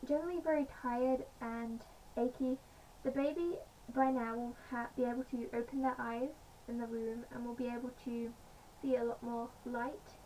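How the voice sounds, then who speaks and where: A woman with a slightly croaky voice, chatting casually in a home vlog with many pauses and fillers. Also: a little high, fairly even intonation, warm tone. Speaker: vlogger. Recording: distant mic, faint background noise.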